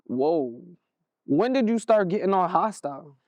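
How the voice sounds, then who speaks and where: A man's voice: high-pitched, big pitch swings, unhurried, vocal fry, darkish tone, no echo, full character voice. A man with an American accent playing a concerned, easygoing older brother in a stage play, speaking casually.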